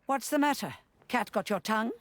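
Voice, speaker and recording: A woman's voice: fairly high pitch, expressive, fairly quick, lightly acted. A woman playing a bitter, sardonic, foul-mouthed old granny who scolds bluntly, as acted in a video game cutscene. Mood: bitter, commanding. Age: older.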